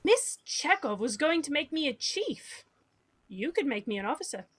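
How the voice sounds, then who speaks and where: A woman's voice: high voice, sing-song, moderate pace, fairly bright tone. An actress playing a cocky, opportunistic female starship guard in an audio drama, brash and pushy. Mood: cocky, eager.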